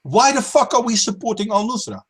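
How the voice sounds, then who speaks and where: A man's voice: high voice, very animated, fairly quick, breathy edge. A man hosting a casual stream chat, talking politics emphatically, swearing and repeating words as he starts sentences. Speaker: stream host.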